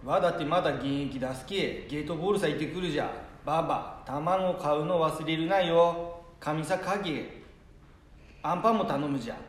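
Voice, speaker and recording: A man's voice: on the high side, moderate pace, close to an everyday voice. A man playing a proud, playful old grandfather in an amateur radio drama, speaking Nanbu dialect of northern Tohoku. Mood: bossy, lively. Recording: echoey room, faint background noise.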